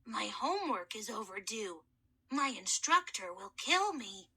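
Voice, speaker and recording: A woman voicing an ancient boy mummy who is timid and formal, with a hoarse voice and courtly, soft-spoken manner. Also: fairly high pitch, sing-song, unhurried, pitched below the performer's own voice, full character voice. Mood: timid, polite. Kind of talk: audiobook reading.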